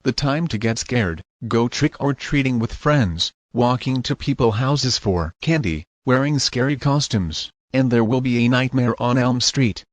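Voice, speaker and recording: Guy announcer doing scripted emergency alert / news narration for horror, medium pitch, totally dry sound. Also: a little slow, few pauses, no room sound.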